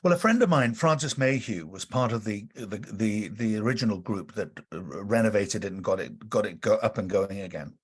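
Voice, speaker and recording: Man, middle-aged, slight welsh lilt, husky, lowish voice, rambling anecdotes, lots of ums. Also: normal speed, hardly any pauses. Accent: slight Welsh lilt over London English. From North London, England. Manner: rambling storyteller, many fillers and restarts. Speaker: guest actor and director. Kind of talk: relaxed anecdotal podcast interview.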